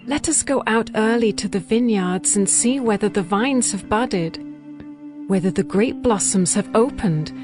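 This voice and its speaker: A tender young bride acted by a woman speaks in a dramatized scripture audiobook over soft music. She is slow and lyrical, with an intimate, loving delivery at a medium pitch. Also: lightly acted. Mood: longing, tender. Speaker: actress in a dramatized Bible audiobook. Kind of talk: poetic dramatized scripture reading.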